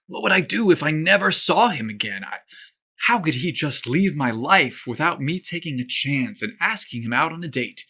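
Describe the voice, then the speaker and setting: A man's voice: a little high, expressive, moderate pace, bright, forceful tone, husky, pitched above the performer's own voice, heavily acted. A man voicing an anxious, eager young male golf pro in an audio story, fretting with questions. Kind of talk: audio story character acting.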